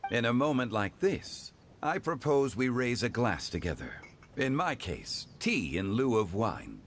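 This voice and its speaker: An actor playing a dignified, erudite gentleman in an anime game, with formal, measured phrasing. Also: mid-range voice, sing-song, slight background noise. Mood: composed, gracious.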